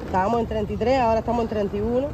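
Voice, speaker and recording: A woman's voice: fairly high pitch, steady tone, racing. A Cuban woman talking casually to her viewers as a vlogger while walking through the streets. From Cuba. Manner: chatty, addresses viewers as family. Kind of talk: casual vlog narration to viewers. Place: outdoors on city streets. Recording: noisy street recording. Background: street noise, dogs, vehicles.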